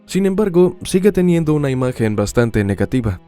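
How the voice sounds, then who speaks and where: A man delivering scripted true crime narration in a measured, dramatic storytelling manner. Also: fairly high pitch, sing-song, warm tone, soft background music.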